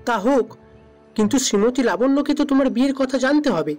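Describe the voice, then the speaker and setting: A woman acting an earnest, doubting young friend in an audio drama, questioning and gently protesting. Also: sits high, expressive, a little fast. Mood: doubtful, earnest. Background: background music.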